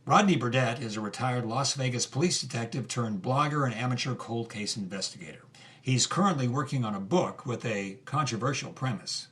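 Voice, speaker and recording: An actor playing an inquisitive, earnest podcast host with a measured delivery in an audio drama. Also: male voice, mid-range voice, fairly bright tone, close to an everyday voice. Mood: earnest, intriguing. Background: music.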